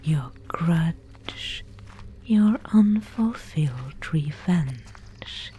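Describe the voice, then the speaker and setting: A woman playing a mysterious, solemn guide of a gloomy fantasy realm in an ASMR roleplay, whispering breathily and close to the microphone with deliberate pauses. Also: low voice, animated, a little hiss or hum, full character voice. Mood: hushed, solemn. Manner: slow, hushed, solemn storytelling with deliberate pauses.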